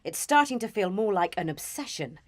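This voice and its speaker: An actress playing a stern, sceptical senior intelligence boss with a British accent, formal and cutting, in a radio drama. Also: female voice, medium pitch, very animated, fairly quick, no echo, natural voice.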